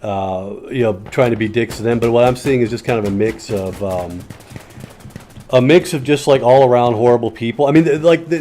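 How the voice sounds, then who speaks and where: A mellow-toned man of ordinary pitch chats casually while he plays a shooter game. He rambles, swears freely and breaks off to answer his viewers. Faint gunfire sounds in the background. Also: a little hiss or hum. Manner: rambling, profane, reads and answers chat. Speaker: streamer.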